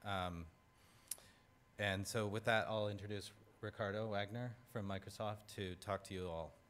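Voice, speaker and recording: Conference host guy, really low voice, upbeat and casual, lots of uhs and ums, little bit of room hiss. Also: steady tone, normal speed, a bit bright. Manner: casual and upbeat, with frequent filler words. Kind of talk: event introduction.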